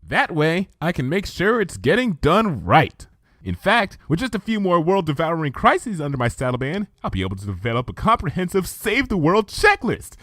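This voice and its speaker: A man's voice: a little high, very animated, normal speed, a bit bright, no room sound, voice pushed up. An eager, chattering young unicorn mare from a fan-made storybook, as a man reading aloud puts her on, bookish and earnest. The voice sits far above his usual one and bounces across a very wide range. The sound is dry and close. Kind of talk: fan fiction audiobook reading.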